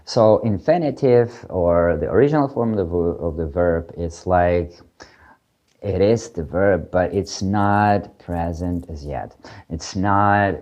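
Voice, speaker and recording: Guy w teaching, low dark voice, lots of uhs, kinda dull lo-fi sound. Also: takes it slowly. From Ukraine. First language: Russian. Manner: hesitant, many fillers and restarts. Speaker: language teacher. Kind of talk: casual video lesson.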